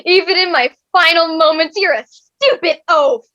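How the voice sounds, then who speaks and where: A woman's voice: extremely high pitch, moderate pace, pushed and bright, muffled recording. A woman playing a bitter, sarcastic young wife in a comic staged reading, with melodramatic exasperation. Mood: outraged.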